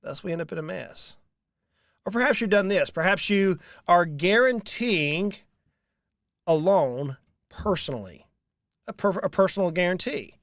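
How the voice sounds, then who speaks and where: A man in his thirties talks through money advice, pitched fairly high for a man. He speaks earnestly and leans on repeated phrases for emphasis. His voice sits close on a studio mic with a dry, dead sound. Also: fairly bright tone, no echo. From Southern United States. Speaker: financial advisor hosting a show. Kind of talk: solo talk to camera. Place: small room with dark curtains. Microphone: large studio mic in front, headphones.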